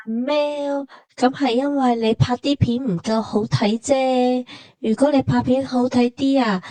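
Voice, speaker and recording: A woman with a Hong Kong Cantonese accent gives casual travel narration in a relaxed, mellow voice that sits a little high. Her delivery is colloquial and matter-of-fact, like scripted banter between companions. The recording is close and dry. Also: measured pace, no echo.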